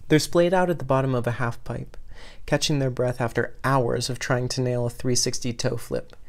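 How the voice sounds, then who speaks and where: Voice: mid-range voice, fairly even intonation, moderate pace, gravelly. A narrator of unclear sex reading scripted fiction expressively as a podfic reader.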